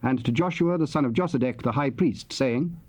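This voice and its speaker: A man's voice: medium pitch, a little fast, darkish tone. A man narrating dramatized scripture in a formal, solemn announcing manner.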